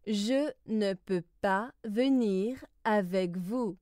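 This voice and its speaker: Female language-lesson reader, extremely slow, careful enunciation, close dry sound. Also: medium pitch, a little mellow, no echo. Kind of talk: slow scripted phrase reading.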